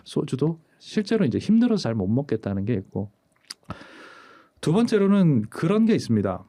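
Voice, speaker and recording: A man in his forties, a doctor hosting a casual chat, explaining things in a lively storytelling way and acting out quoted lines. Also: on the high side, normal speed. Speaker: urologist host.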